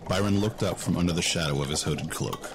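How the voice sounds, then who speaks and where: A man's voice: deep voice, fast, background noise. A man giving scripted narration for a fantasy audio drama.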